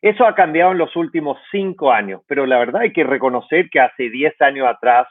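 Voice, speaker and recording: A man's voice: medium pitch, animated, a little fast. A man hosting a podcast, asking questions in a conversational interview style. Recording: narrow, phone-like line.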